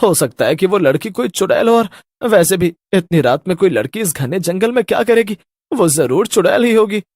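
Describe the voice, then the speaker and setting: A man's voice: sits high, lively intonation, moderate pace, a little mellow, no room sound. A man acts out a timid police constable in a horror cartoon. He pleads anxiously, like a frightened family man. The sound is close and dry. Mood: nervous, wary. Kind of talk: Hindi horror cartoon voice acting.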